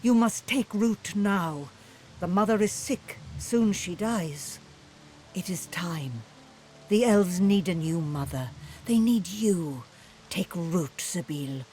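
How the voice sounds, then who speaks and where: A woman's voice: fairly low pitch, takes it slowly, warm tone, slight background noise. A woman voicing a solemn, commanding elf emissary in a fantasy video game, speaking in short chant-like pronouncements. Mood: grave, insistent.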